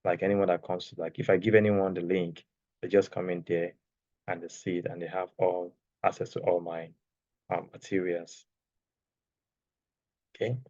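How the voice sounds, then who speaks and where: A man with a soft, lowish voice walks students through a screen-share tutorial, talking loosely and often checking in with "okay?" His voice comes through a dry, slightly dull external mic with no room sound. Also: level tone, normal speed, long pauses, dry recording. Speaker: course creation instructor. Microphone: external microphone.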